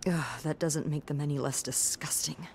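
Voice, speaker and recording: A sassy, gossipy young hotel receptionist in a story-driven video game. Her voice sits low for a woman, with a wry, sarcastic, blunt conversational delivery and faint noise behind it. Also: steady tone, moderate pace, creaky, darkish tone. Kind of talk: natural conversational game acting.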